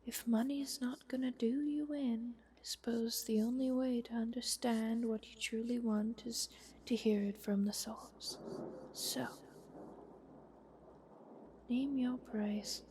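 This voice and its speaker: A woman voicing an ancient, haughty vampire countess in a soft, whispered fantasy ASMR roleplay, with a formal, measured, wry manner. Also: a little high, steady tone, slight background noise. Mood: coaxing, negotiating. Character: ancient, youthful-looking vampire countess, haughty, coolly bargaining.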